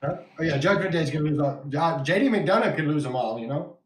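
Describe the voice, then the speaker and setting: A man's voice: fairly high pitch, animated, quick pace, roomy sound. A man from West Texas with a West Texas accent, hosting a casual wrestling podcast, laughing often and reading viewer comments aloud. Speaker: podcast host.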